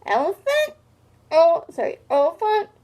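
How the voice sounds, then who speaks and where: Lady doing a big bossy boy elephant from a kids book, high voice, loud slow insults. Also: very animated, full character voice. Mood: incredulous. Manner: loud, emphatic, taunting. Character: big, brash male elephant bully, smirking and insulting. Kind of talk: children's storybook read-aloud.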